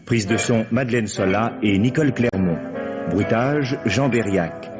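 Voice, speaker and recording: A man announcing a radio play, reading the credits in a formal, measured, old-fashioned style. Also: lowish voice. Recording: muffled vintage radio recording. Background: loud music.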